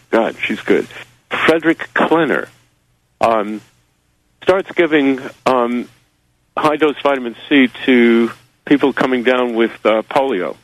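A man who publishes a magazine, guesting on a radio interview and rambling through halting answers full of fillers and wry asides. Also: mid-range voice, no echo, poor audio. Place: New Zealand.

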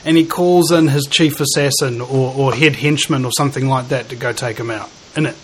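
A man's voice: mid-range voice, a little fast, a little hiss or hum. A man with a New Zealand accent hosting a casual conversation, musing aloud and searching for his words.

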